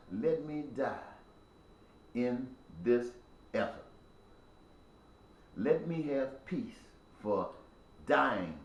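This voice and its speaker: Preachy man in his sixties, echoey room. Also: medium pitch. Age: 60s. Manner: preachy, repetitive, emphatic cadence. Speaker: speaker addressing viewers. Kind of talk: impassioned spoken monologue.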